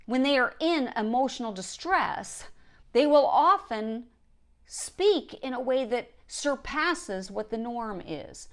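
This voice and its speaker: A woman's voice: a little high, fairly bright tone. A woman giving an instructional talk as a writing coach, speaking directly and emphatically, repeating key points for stress. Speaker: writing coach and show host.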